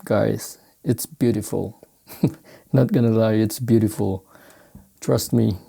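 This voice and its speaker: A man from the Philippines with a Filipino accent, speaking non-native English in casual, halting unboxing commentary as he searches for words. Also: fairly low pitch, fairly even intonation, vocal fry, warm tone, slightly rough. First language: Filipino language. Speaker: sneaker unboxing vlogger. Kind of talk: casual unscripted product commentary.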